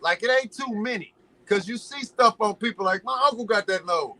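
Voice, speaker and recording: A man from Los Angeles chatting casually as a podcast guest, an animated, slangy storyteller who drifts into preachy musings. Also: high voice, a little fast, slight background noise. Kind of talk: casual chat.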